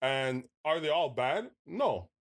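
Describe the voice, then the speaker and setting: A man in a casual podcast discussion, speaking in a measured way and steering the talk back to his argument. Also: fairly high pitch, animated.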